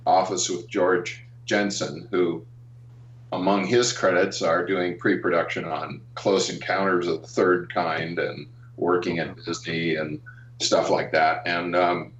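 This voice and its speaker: Deep-voiced older American man, roomy distant sound. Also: level tone, fairly bright tone. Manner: halting, frequent pauses and ums. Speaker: interview guest, animation artist and art director. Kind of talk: reminiscing in a casual interview. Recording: roomy, dull, distant call-like audio.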